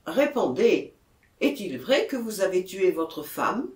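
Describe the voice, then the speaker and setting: A man's voice: high voice, sing-song, takes it slowly, roomy sound, exaggerated voice. A man voicing an earnest male police commissioner in an audiobook, questioning formally and firmly.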